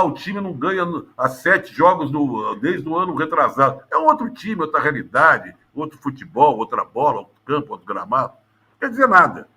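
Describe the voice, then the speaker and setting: A Brazilian man giving an exasperated, emphatic opinion in a studio sports debate, with loud bursts and low mutters. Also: husky. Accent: Brazilian Portuguese. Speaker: sports pundit.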